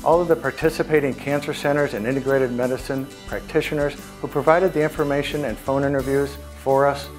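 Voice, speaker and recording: A man's voice: mid-range voice, steady tone, fairly quick, few pauses. A man delivering scripted narration as the narrator of a short presentation. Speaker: narrator reading outcome and credits. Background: soft plucked guitar music.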